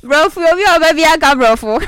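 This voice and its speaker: A woman's voice: high voice, moderate pace. A woman with a Ghanaian accent, a caller chatting animatedly in a mix of Twi and English. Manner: lively, laughs and exclaims.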